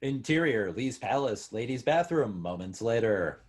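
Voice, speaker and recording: Husky man reading scene headings. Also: mid-range voice, lively intonation, measured pace, a little mellow. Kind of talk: scripted screenplay reading.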